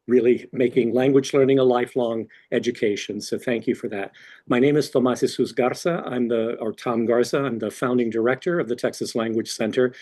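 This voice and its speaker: Husky-voiced male professor. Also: medium pitch, mellow. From Mexican background. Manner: earnest, restarts often. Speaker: language center director and professor. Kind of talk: welcome remarks at a panel. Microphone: video call.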